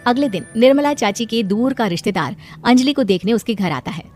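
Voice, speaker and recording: A woman's voice: on the high side, lively intonation, a little fast, darkish tone, faint music behind. A woman giving scripted narration of a moral story.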